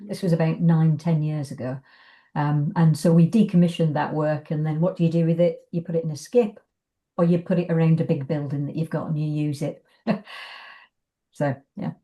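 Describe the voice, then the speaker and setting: A woman's voice: low voice, fairly even intonation, normal speed, dark tone. A woman chatting on a video call about arts projects, informal and rambling. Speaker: arts organisation member describing past projects. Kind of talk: casual conversational explanation.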